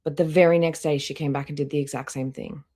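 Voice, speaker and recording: Low-voiced woman livestreamer, blunt commentary. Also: steady tone, fast, dark tone. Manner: blunt, swearing, critical. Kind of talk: casual reaction livestream commentary.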